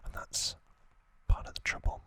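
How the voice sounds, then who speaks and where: A man speaking in a breathy, unvoiced whisper, telling trivia in a soothing, halting ASMR style. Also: bright, forceful tone. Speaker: ASMR creator, host.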